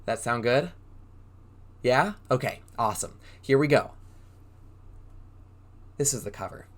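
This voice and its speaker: A man reading a storybook aloud as a preschool drama teacher, in an exaggerated, animated way, prompting young listeners with questions. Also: medium pitch, measured pace. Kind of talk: interactive children's read-aloud.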